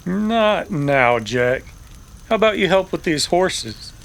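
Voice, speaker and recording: A man's voice: high voice, lively intonation, slow, vocal fry, full character voice. Man acting a guarded, laconic Old West cowboy. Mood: gently directing. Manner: laconic, folksy phrasing. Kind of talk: Western radio drama acting.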